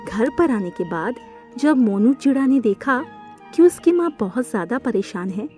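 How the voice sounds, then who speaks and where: A woman's voice: a little high, animated, measured pace, a little mellow, soft background music. A woman narrator reading a scripted children's story for a cartoon.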